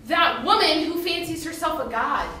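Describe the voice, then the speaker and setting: A prim female ghost from another century, played by a woman in a drama, high and bright in voice. She scolds indignantly in old-fashioned phrases, heard across an echoing room. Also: normal speed. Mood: scolding, indignant. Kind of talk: theatrical drama acting. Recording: distant, very echoey room, faint noise.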